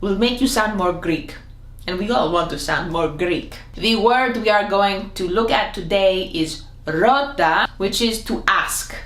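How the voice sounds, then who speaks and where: A woman gives a comedic language lesson at an unhurried, deliberate pace. She is playful and theatrical, with sudden jumps in pitch, and her voice echoes around a roomy space. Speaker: Greek language teacher. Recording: echoey room.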